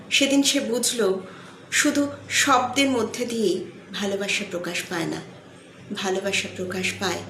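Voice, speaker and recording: A woman telling a sentimental motivational story in an earnest, deliberate way, pausing between phrases. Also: mid-range voice, gravelly. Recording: echoey room, faint background noise.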